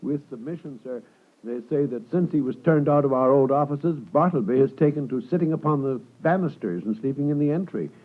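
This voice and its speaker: A man's voice: medium pitch, brisk, close to an everyday voice. An actor playing a worried, deferential male law clerk in 1950s radio drama, hesitant, earnest and obsequious. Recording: thin, phone-like 1950s radio broadcast.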